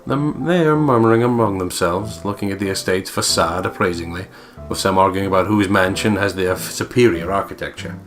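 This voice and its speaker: Deep-voiced man reading a story aloud. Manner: deliberate, storyteller-like, playful putting on of voices. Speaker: gaming video co-player reading the text aloud. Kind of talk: dramatic read-aloud narration of a visual novel. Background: game music.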